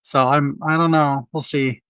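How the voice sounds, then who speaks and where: A man's voice: on the high side, fairly even intonation, a little slow, a bit bright, smooth, dry recording. A man co-hosting a film podcast, chatting candidly in a rambling way with many restarts. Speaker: podcast co-host. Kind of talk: casual film chat. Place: Quad City area.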